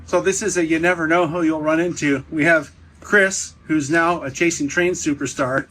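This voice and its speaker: A man's voice: fairly high pitch, steady tone, moderate pace, fairly bright tone, poor audio. A man hosting a vlog about railway preservation, talking casually and enthusiastically to camera outdoors at a rail yard. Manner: chatty, enthusiastic tour-guide talk. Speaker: host documenting railway preservation.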